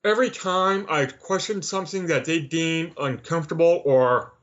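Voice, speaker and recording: Slightly muffled, lo-fi audio carries a man from Canada with a somewhat high voice. He talks conversationally as an interview guest, stating his views assertively. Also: moderate pace, fairly bright tone.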